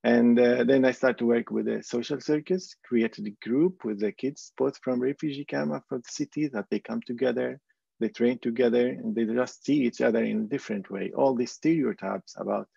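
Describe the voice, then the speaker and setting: A man from Palestine who works as a clown, speaking on a panel with hesitant pauses and many fillers. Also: medium pitch, gravelly, warm tone, slightly rough, dry recording, dull audio. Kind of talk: panel talk.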